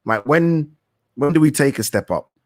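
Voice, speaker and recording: A man's voice: fairly high pitch, expressive, moderate pace, darkish tone, no echo, clean studio sound. A young man hosting a live boxing talk stream, reading viewer questions aloud and answering in a rambling, thinking-aloud way with casual swearing. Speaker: boxing talk show host.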